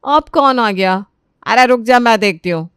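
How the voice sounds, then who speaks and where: Wry, high-voiced cartoon housewife played by a woman. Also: animated, normal speed, a bit bright, clear, resonant tone, no echo, clean studio sound. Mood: weary, grumbling. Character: plain-spoken, wry, helpful housewife mother-in-law. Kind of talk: Hindi cartoon story character voice.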